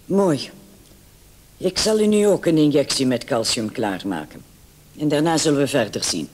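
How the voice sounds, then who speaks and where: Brisk doctor, lively high-pitched voice. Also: male voice. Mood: brisk, questioning. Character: brisk night-shift emergency doctor, condescending, businesslike. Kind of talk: vintage Dutch radio play acting. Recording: old radio recording with clear hiss.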